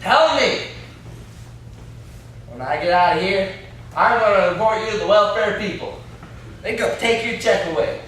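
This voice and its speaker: A man's voice: sits high, lively intonation, normal speed, long pauses, a bit bright. A young man playing an indignant, blustering country captive in an amateur stage play, with a folksy, complaining, threatening way of talking. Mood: angry, scolding. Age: young adult. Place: classroom-like room, indoors. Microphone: far camera. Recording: echoey room, distant camera mic, faint noise.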